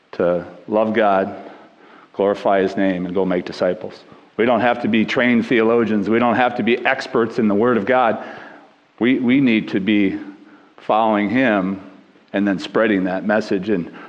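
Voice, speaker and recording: An older or middle-aged American man with a loud voice, giving an informal sermon as a lay preacher in a plain-spoken, earnest, conversational way. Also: on the low side. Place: large echoing church hall. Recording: dull muffled audio, long hall reverb.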